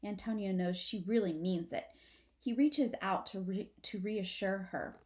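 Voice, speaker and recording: A woman's voice: mid-range voice, a little slow, a little mellow. A woman, a teacher, narrates a children's story aloud for her students.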